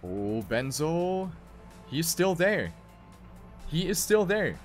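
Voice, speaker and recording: A man's voice: fairly high pitch, big pitch swings, slow, soft background music. A man commentating live on a racing game, calling the action play by play and repeating phrases for emphasis. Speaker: esports race commentator.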